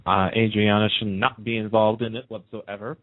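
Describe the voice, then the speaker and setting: Low-voiced man on a phone-like line. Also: level tone, normal speed, dry recording. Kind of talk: casual chat about TV soaps. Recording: muffled, narrow phone-like line.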